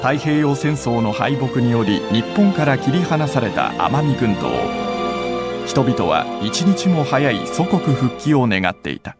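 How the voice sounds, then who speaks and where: Expressive male radio drama narrator over music. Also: mid-range voice. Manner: formal, deliberate.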